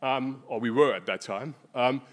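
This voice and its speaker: A man with a German accent giving a conference talk on energy research, pausing often with ums and restarting phrases. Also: medium pitch. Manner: hesitant, many ums and restarts.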